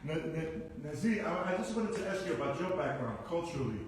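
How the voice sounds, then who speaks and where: A man hosting a casual onstage interview with musicians, eager and quick to praise and laugh. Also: fairly high pitch, lively intonation. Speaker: host and interviewer. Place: music hall venue. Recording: echoey hall from far off, faint noise.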